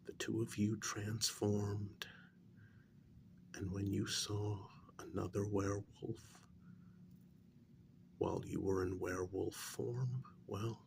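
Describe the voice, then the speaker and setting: Man playing a slow, gravelly, soft-spoken werewolf agent. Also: fairly low pitch, noisy background. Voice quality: gravelly, breathy, often near whisper. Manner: slow, deliberate, pausing between short phrases. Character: calm, menacing veteran werewolf agent recruiting another, male. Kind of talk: soft-spoken ASMR role-play monologue.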